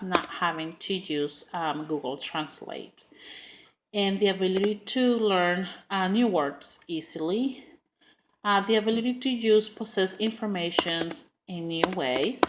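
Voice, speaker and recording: A woman's voice: lowish voice, a bit bright, a little hiss or hum. A middle-aged woman with a Spanish accent giving a prepared class speech, earnest and with frequent ums and uhs.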